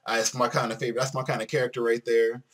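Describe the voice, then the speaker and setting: A man's voice: mid-range voice, little pitch movement, rapid-fire, a bit bright. A man giving casual let's play commentary, rambling and repeating himself as he talks to his viewers. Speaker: video game streamer.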